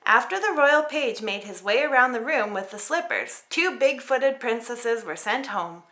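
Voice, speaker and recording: Bright, animated woman reading a storybook. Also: a little high, moderate pace. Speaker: storybook reader. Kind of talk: expressive children's story narration.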